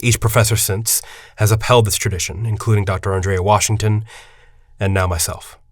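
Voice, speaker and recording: An actor playing a forty-year-old university headmaster in an audio drama, a secretive academic who speaks in a deliberate, articulate, confiding way. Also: male voice, lowish voice, fairly even intonation, dry recording, clean studio sound, natural voice. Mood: confiding, somber. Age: 40s. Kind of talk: audio drama dialogue and storytelling.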